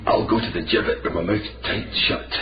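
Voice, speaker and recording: An actor playing a desperate condemned prisoner in a radio drama, bitter and deliberate, speaking formally as he bargains grimly. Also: on the low side, fairly even intonation, lightly acted. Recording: muffled, noisy radio recording in echoey cell.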